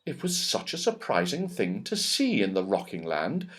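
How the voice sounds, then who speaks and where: A man narrating a children's story in an expressive, emphatic way. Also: fairly low pitch, normal speed. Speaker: audiobook reader.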